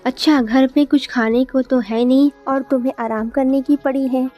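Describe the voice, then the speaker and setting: A woman's voice: sits high, fairly even intonation, normal speed, dull audio, soft background music, natural voice. A woman voicing a greedy, nagging village wife in a cartoon moral story, scolding and demanding.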